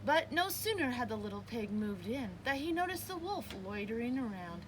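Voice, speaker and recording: A woman reading a children's picture book aloud as a storyteller, with expressive, emphatic narration. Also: a little high, moderate pace, noisy background.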